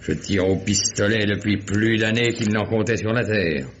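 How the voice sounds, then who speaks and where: An actor plays an old male country sheriff with a low voice. He is folksy, paternal and unhurried, steady under pressure. It sounds like a vintage radio drama, muffled with faint noise. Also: lightly acted. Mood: regretful, relieved. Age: older. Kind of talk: 1950s French radio mystery acting.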